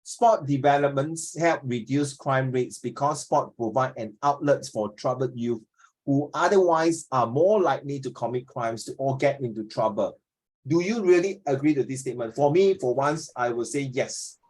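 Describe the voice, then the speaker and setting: A man's voice: medium pitch, moderate pace, a little mellow, low-quality recording. Singaporean man in his fifties, questioning teacher. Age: 50s. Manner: questioning, repeats himself, tags sentences with "ah". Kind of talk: informal online class discussion.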